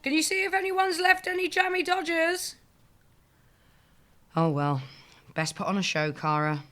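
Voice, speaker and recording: A woman's voice: extremely high pitch, lively intonation, measured pace, fairly bright tone, heavily acted. A woman playing a lazy, shameless receptionist in an audio sitcom, with a flippant, dry manner.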